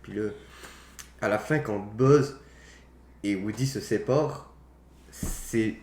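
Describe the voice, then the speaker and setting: Low-voiced young man, roomy sound. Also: slow, darkish tone. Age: young adult. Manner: opinionated, slangy, mild swearing. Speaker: podcast co-host. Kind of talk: casual film chat. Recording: roomy echo, faint background noise.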